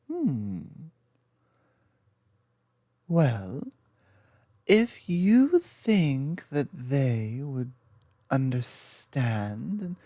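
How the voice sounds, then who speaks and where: A woman playing a loving, gently dominant lamia girlfriend in an intimate ASMR roleplay, speaking softly and tenderly with hesitant pauses. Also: sits low, dry recording, studio quality, exaggerated voice. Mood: eager, warm. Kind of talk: ASMR roleplay, intimate one-sided conversation.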